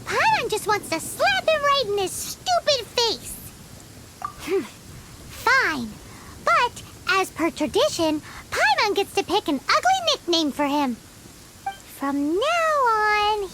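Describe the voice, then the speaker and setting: A woman's voice: very animated, slow, very noisy, full character voice. A woman voicing a tiny, bossy and cheeky fairy companion who speaks of herself in the third person. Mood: annoyed. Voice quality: high squeaky voice, talks of herself in third person. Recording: game audio with loud music behind.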